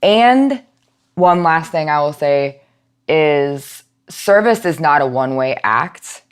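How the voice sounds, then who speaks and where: A woman speaking as a podcast guest, giving thoughtful conversational answers and pausing mid-thought as she reflects. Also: low-pitched, lively intonation, clear, resonant tone. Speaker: podcast guest, nonprofit outreach and education manager.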